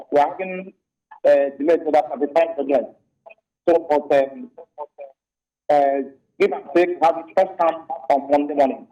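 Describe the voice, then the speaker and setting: A man, a defense lawyer in a live news interview, speaking haltingly with many fillers. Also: a little high, mellow. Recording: distant, muffled lo-fi remote line.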